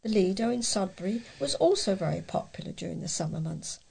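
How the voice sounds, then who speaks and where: A woman reading local news articles aloud as a volunteer reader, with a creaky, husky voice and a measured delivery. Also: mid-range voice, expressive, dark tone, a little hiss or hum, low-quality recording.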